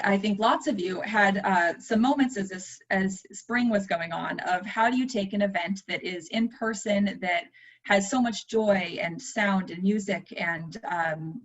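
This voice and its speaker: Female panelist, conversational and chatty, dull lo-fi audio. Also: medium pitch. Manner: warm and chatty, restarts. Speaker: guest panelist. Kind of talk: conversational presentation.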